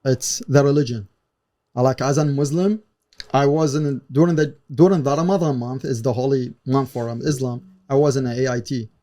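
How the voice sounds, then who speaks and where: A man's voice: medium pitch, normal speed, warm tone. A man from Iraq with an Iraqi Arabic accent, speaking casually as an interview guest, in non-native English with simple phrasing and frequent "you know". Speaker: guest, former soldier and interpreter.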